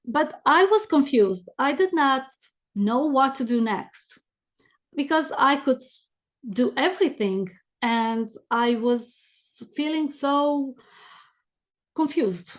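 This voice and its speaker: A woman's voice: sits high, animated, slow, pauses often. A middle-aged woman with a thick Romanian accent, talking conversationally as a podcast guest. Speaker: podcast guest, business coach. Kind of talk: conversational interview storytelling.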